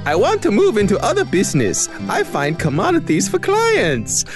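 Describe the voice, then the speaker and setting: A man's voice: huge pitch swings, moderate pace, music in the background. A man voicing a Sicilian businessman who writes in broken English, with a put-on Italian accent. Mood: comic. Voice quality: high, sing-song, broken English.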